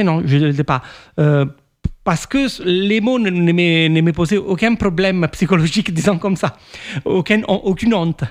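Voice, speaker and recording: A man with an Italian accent talks about his writing, pausing and restarting as he thinks. His voice sits fairly high for a man. He sounds reflective and unhurried. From Italy. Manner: thoughtful, hesitant, with frequent restarts and fillers. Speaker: author being interviewed. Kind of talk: reflective conversational answers.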